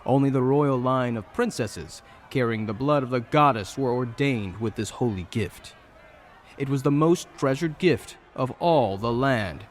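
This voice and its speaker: A man's voice: medium pitch, slight background noise. A man narrating a scripted fantasy audio drama in a dramatic storytelling style. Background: music.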